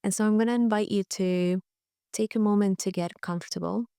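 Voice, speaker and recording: A woman leading a guided relaxation meditation in a gentle, soothing, unhurried way, with frequent pauses. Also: mid-range voice, steady tone, clean tone, no room sound, clean studio sound. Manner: gentle, soothing, pausing often. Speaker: yoga nidra guide.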